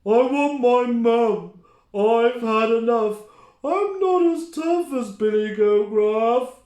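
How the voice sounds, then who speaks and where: A man's voice: extremely high pitch, darkish tone, pitched above the performer's own voice. A man voicing a grumpy, boastful storybook troll who bullies and roars his words out emphatically. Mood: wailing. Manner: roaring, drawn-out, emphatic delivery. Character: grumpy, boastful male bridge troll, loud and bullying. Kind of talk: children's storybook character voice. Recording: roomy, echoing indoor sound.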